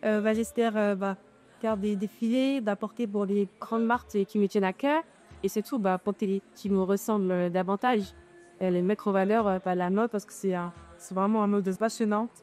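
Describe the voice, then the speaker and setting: A young woman of eighteen with a mellow, warm voice gives hesitant answers full of fillers. Faint music plays behind her. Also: mid-range voice, fairly even intonation, normal speed. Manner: shy, hesitant, many fillers. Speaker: student model. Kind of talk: interview answers.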